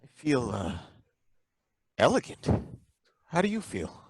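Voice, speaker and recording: An actor playing a dreamy, drawling inspector who is eerily calm, in an old-time radio drama. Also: male voice, medium pitch, slow, creaky, slightly rough, dry recording, full character voice.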